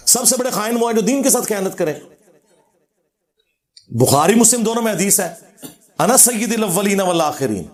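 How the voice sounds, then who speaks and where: Male religious lecturer, high voice, Pakistani Punjabi accent. Informal, combative rebuttal style with a sardonic edge. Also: darkish tone. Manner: argumentative, sardonic, quoting scripture.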